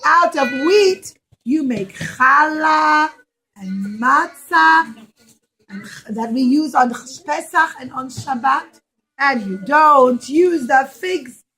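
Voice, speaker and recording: A woman voicing a sad, sulky male fig tree in a puppet show, complaining tearfully in drawn-out words. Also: high voice, pushed and bright, full character voice, rough recording. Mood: tearful, aggrieved.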